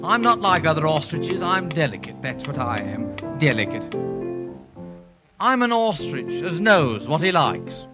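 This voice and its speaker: Fussy, high-voiced ostrich. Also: male voice, very animated, a little slow, over music. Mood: fussy, indignant. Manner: fussy, chatty, folksy phrasing. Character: fussy, friendly, self-proclaimed delicate male ostrich. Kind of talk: 1930s children's radio serial acting. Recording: muffled 1930s radio recording.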